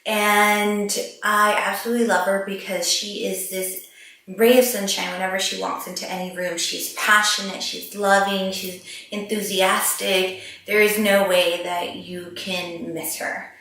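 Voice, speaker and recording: A woman's voice: mid-range voice, flat delivery, a little slow, fairly bright tone. A woman hosting a casual interview show, bubbly and gushing, repeating words for emphasis. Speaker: host. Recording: very echoey, distant from the mic.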